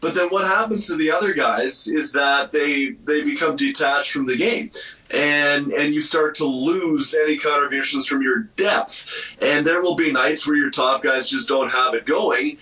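A man's voice: a little high, a little slow, sharp tone. A man giving casual sports commentary as a radio guest, in an opinionated, emphatic manner. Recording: roomy, slightly distant mic.

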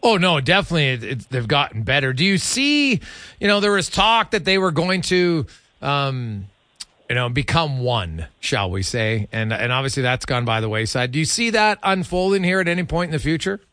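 A man's voice: a little high, sing-song, moderate pace, sharp tone, no echo. A man speaks close to a studio microphone with a very dry sound. He hosts live sports radio in a conversational style, rambling a little and hesitating often as he works toward his point.

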